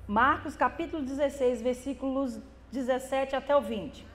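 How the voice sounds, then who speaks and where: A middle-aged woman from Brazil with a Minas Gerais accent, preaching a church sermon and building to loud, fervent exhortation with drawn-out emphatic words. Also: high-pitched, slight background noise. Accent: Brazilian Portuguese from Minas Gerais. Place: church hall.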